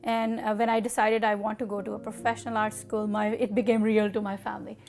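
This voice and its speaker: Pakistani-accented woman with a creaky voice. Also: medium pitch, level tone, quick pace, darkish tone. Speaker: artist interviewee. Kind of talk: personal interview storytelling.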